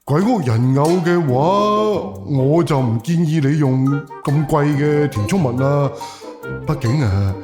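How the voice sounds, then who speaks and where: Casting: male actor as young Cantonese workshop boss, casual, halting, excitable, in a roomy echo with music underneath. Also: medium pitch, natural voice. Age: young adult. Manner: hesitant, halting, with stammers and interjections. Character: young male puppet workshop owner, casual, easily startled. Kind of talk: audio drama acting. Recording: echoey room under loud music.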